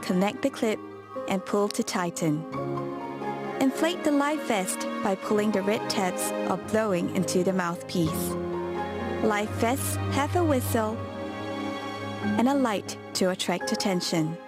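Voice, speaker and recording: A woman's voice: medium pitch, animated, unhurried. A woman narrating an airline safety briefing in a scripted, instructional style. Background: loud music.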